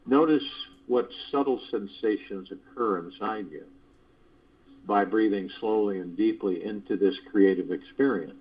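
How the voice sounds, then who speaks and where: Slow, husky man leading guided meditation. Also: fairly low pitch. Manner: measured, deliberate phrasing with pauses. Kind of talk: guided meditation, spiritual motivational talk. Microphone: phone conference call. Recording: dull lo-fi conference call audio.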